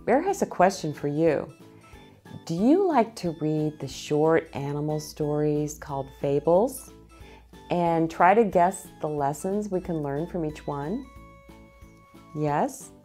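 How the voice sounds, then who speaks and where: Slow, animated woman reading to children. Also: low-pitched, soft background music. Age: middle-aged. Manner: deliberate phrasing, addressing child listeners with questions. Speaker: children's storytime host reading aloud. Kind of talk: slow, animated picture-book read-aloud for young children. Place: small indoor set with bookshelves, seated in an armchair.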